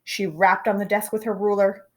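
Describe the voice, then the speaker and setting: A woman reading a children's picture book aloud in an expressive narrating style. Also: mid-range voice, normal speed. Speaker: storybook reader.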